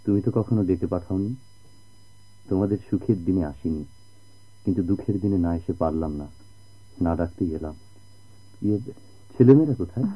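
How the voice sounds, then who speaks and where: Deep, husky, gentle family friend. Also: male voice, moderate pace, long pauses. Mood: gentle, sympathetic. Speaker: radio drama actor. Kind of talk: Bengali radio drama acting. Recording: muffled old radio drama recording.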